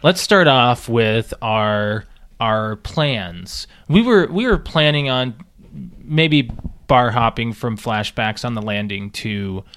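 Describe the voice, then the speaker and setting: A man's voice: mid-range voice, lively intonation, takes it slowly, a bit bright, clean tone. A man co-hosting a podcast, chatting casually and rambling through stories. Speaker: podcast co-host. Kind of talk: casual chat.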